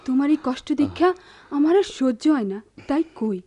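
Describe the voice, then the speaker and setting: A woman's voice: high voice, lively intonation, normal speed, warm tone. A woman playing a devoted younger sister in a radio drama, fretting and scolding plaintively in a rural Bangladeshi dialect. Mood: fretful, plaintive. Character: devoted, fretful younger sister in village household. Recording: dull, lo-fi radio recording.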